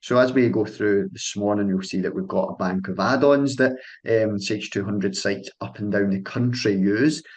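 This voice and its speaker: A man's voice: fairly low pitch, moderate pace. A young Scottish man, an account manager, presenting a sales webinar over a call in a friendly, loose style with frequent ums and restarts. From Scotland.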